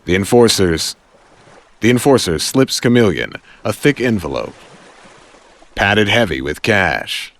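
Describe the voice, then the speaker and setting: A man narrating an audio drama in a suspenseful style, with deliberate phrasing and dramatic pauses. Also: fairly low pitch, animated, fairly bright tone. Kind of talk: dramatic scripted story narration.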